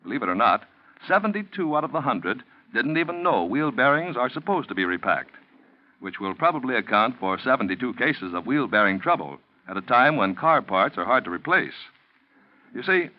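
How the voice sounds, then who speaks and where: A man working as a 1940s radio announcer, reading a scripted introduction and advertisement in a polished, persuasive way. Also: mid-range voice. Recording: muffled 1940s radio recording.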